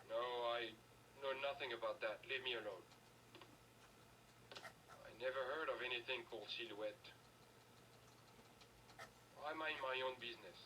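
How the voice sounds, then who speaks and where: A guarded male hotel keeper from a video game, voiced by an actor. His voice sits at a medium pitch and sounds dismissive and defensive. The recording is distant and noisy. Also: moderate pace, long pauses, sharp tone, natural voice.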